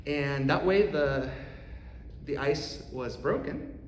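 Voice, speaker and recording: A man in his thirties from Costa Rica with a Costa Rican accent, an economics professor giving an earnest, measured prepared talk to a congregation. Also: a little high, slight background noise. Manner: earnest, measured, with occasional restarts. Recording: roomy hall reverb, faint ambience.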